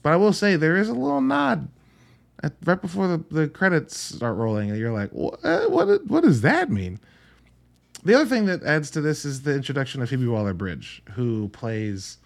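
Casual man reviewing movies, chatty and sweary. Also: lowish voice. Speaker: podcast host reviewing movies. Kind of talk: casual, conversational solo film review.

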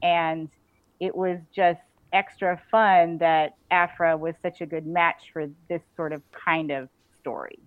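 A woman with a fairly low voice talks as an interview guest in a casual, enthusiastic way. Her audio is narrow and phone-like, with very little room sound around it. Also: steady tone, measured pace, no echo. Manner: enthusiastic, informal.

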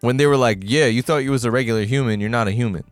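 Animated man riffing on an anime. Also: medium pitch, very fast, dry recording, faint music behind. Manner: animated, swears freely, acts out scenes. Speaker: podcast co-host. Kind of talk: casual chat.

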